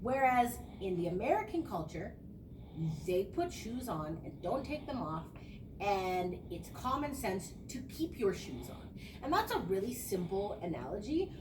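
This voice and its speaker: A young adult woman, a student teacher, giving an earnest, conversational spoken reflection and explaining her ideas. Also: medium pitch. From small rural town. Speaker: education student. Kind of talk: spoken reflective class presentation. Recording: echoey room, distant mic, noisy.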